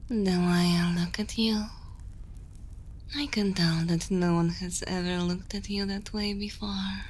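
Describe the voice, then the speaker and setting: A woman playing a dominant, possessive yet motherly evil queen in an ASMR roleplay, speaking softly and intimately close to the microphone, often in a breathy whisper. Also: medium pitch, steady tone, slight background noise, natural voice. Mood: silky, intrigued. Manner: slow, deliberate, commanding, intimate.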